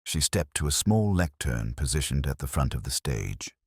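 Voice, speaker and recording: A man's voice: a bass voice, big pitch swings, moderate pace, darkish tone, husky, no room sound, clean studio sound. A man reading a scripted story as an audiobook narrator.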